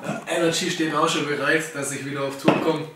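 A man's voice: a little high, little pitch movement, fairly quick, heavy background noise. Hungover young man chatting on a sofa. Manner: laid-back, hungover, chuckling. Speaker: co-host. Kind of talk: casual chat. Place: living room. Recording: echoey room from a distance.

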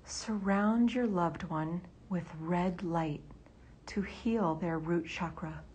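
A woman leading a guided meditation in a soft, breathy near-whisper. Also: lowish voice, takes it slowly, a little hiss or hum.

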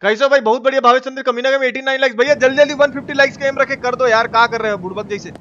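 A man's voice: very high-pitched, fairly even intonation, very fast, fairly bright tone, muffled recording, a little hiss or hum. A man with a Bihari accent giving casual, slangy gameplay commentary as a live streamer, cursing and repeating himself as he chats with viewers. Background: game music.

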